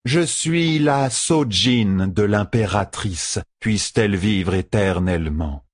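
Audiobook fantasy guard, a hard young woman, read by a man: husky, drawn-out, dry and scornful. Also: lowish voice, no echo. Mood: cold, guarded. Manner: slow, deliberate, dry deadpan. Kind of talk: audiobook fantasy character dialogue.

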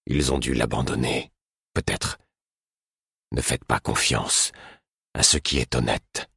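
A man's voice: extremely low pitch, steady tone, slow pace, fairly bright tone, breathy edge, dry recording, clean studio sound, voice pushed down, heavily acted. Man doing a weary, grave old king. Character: exhausted aging male king, solemn.

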